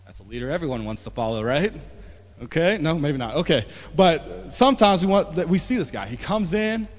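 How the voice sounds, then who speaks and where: A man in his late twenties preaching a sermon as pastor, talking conversationally and swinging from emphatic to quiet. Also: on the high side, expressive, a little fast. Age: 20s. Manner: conversational, rising to emphatic then dropping soft. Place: church sanctuary. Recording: long church hall reverb.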